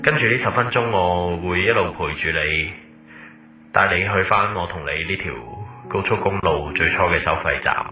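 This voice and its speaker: A man's voice: low voice, moderate pace, bright, forceful tone. A man with a Hong Kong Cantonese accent playing a wistful former lover who leaves a recorded voice message, casual and nostalgic, guiding the listener along like a tour guide. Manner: casual, nostalgic, tour-guide patter mixed with English words. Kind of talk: audio drama monologue as voice message. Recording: roomy, slightly distant, music behind.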